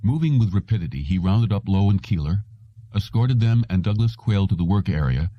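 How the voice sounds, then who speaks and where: A man's voice: lowish voice, lively intonation, a little fast. A man reading a story aloud as an audiobook narrator, in scripted narration. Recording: roomy, dull lo-fi audio.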